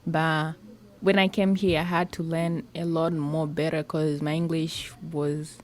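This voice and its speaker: A teenage girl from Congo, a high school student, telling her own story in an interview, hesitant and full of "like" fillers. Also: flat delivery, a little slow. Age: teens. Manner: hesitant, with frequent "like" fillers and restarts.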